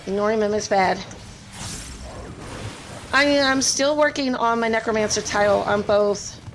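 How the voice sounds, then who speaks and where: A woman's voice: mid-range voice, a bit bright. A woman live-streaming a game and chatting casually with viewers, her voice strained and scratchy, apologetic and full of fillers.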